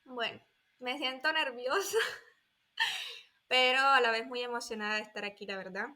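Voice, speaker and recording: A woman in her twenties from Colombia talks casually as a podcast guest. Her voice sits a little high and sounds bright and forward. She often pauses and restarts while she hunts for the right words. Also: sing-song. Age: 20s. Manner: hesitant, searching for words. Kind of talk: casual interview talk.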